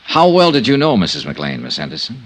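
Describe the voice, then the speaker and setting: A man's voice: medium pitch, huge pitch swings, a little fast, a bit bright, slight background noise. An American man playing a cool, terse, probing insurance investigator in a 1950s radio detective drama. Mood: guarded, probing. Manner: terse, guarded, questioning.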